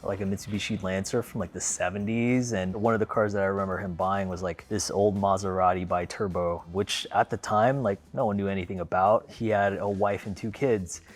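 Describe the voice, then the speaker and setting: Laid-back man with a low voice. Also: a little fast, few pauses. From Philippines, raised in Los Angeles. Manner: relaxed, rambling, frequent fillers and restarts. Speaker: car owner. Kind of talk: casual storytelling to camera.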